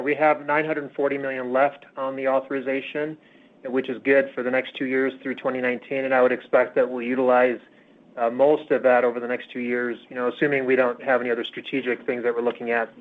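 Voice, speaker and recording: Guy doing finance answers on an earnings call, pretty monotone, hesitant, thin phone sound. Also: mid-range voice, fairly quick. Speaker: company finance executive. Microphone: phone conference call. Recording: tinny, narrowband conference phone line.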